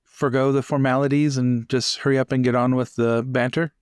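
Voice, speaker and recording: A man's voice: medium pitch, little pitch movement, brisk, clear, resonant tone, no room sound, studio quality. A man playing in a tabletop game, putting in brief bantering remarks.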